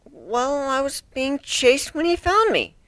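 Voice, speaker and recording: A man's voice: very high voice, huge pitch swings, takes it slowly, bright, forceful tone, no room sound, pitched above the performer's own voice, full character voice. A man voicing a young female griffin on trial in a dramatic story reading, timid and defensive, with a hesitant, stammering delivery. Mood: nervous. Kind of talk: dramatic fan fiction reading.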